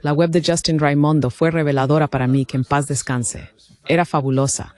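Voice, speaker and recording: A man's voice: on the high side, little pitch movement, fairly quick. A middle-aged man putting an earnest question to a panel as an audience member.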